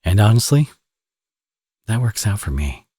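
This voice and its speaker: Man acting a deep, husky flirtatious villain. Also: sing-song, dark tone, no echo, studio quality, heavily acted. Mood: flirtatious, smug. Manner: teasing, sardonic, drawling with drawn-out phrases. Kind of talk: ASMR romance audio roleplay, intimate acting.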